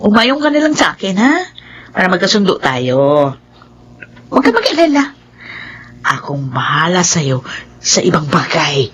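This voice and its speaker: An actress playing a sly, pushy housemaid in a radio drama, wheedling and brash in an exaggerated manner. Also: female voice, lowish voice, big pitch swings, moderate pace, pauses often, fairly bright tone, dull audio, a little hiss or hum. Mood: sly, scheming. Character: sly, pushy, scheming housemaid wheedling gifts from her employer.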